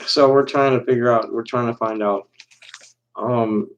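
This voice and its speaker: A man hosts a casual solo livestream. He reads aloud with slow, deliberate pauses, and when he chats his talk is halting and full of restarts. His voice sits at an ordinary middle pitch. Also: steady tone, lo-fi sound. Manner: halting and rambling, many restarts. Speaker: livestream host.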